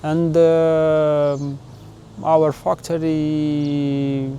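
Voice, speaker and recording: A Moldovan man with a Romanian accent speaks English as a second language, stopping often with "uh" as he searches for words. His voice is mellow and warm. A faint hiss of outdoor noise sits behind him. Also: fairly high pitch, little pitch movement. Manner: halting non-native English with many pauses and "uh" fillers. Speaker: winery export sales manager. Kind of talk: interview answers.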